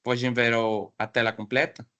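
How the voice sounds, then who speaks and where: A man with a Mexican Spanish accent, a native Spanish speaker, giving an academic seminar in a second language over a video call and pausing often to search for words. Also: mid-range voice, little pitch movement, fairly bright tone, muffled recording. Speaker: guest speaker.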